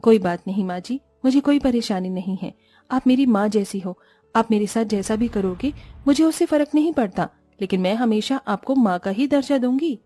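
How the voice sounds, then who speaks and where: Woman playing a kind young daughter-in-law, soft and respectful, fairly high. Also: moderate pace, no room sound, soft background music, natural voice. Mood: gentle. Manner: sweet, respectful, mild.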